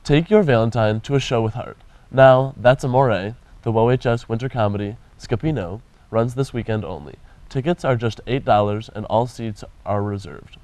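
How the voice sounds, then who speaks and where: A man's voice: mid-range voice, normal speed, a little mellow, slight background noise. Teen boy reading school announcements. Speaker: school news anchor. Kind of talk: morning announcements.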